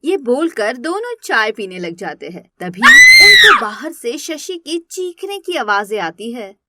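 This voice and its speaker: A woman's voice: high-pitched, wildly swooping pitch, a little slow, shouting, heavy background noise. A woman narrating a scripted moral story as its storyteller.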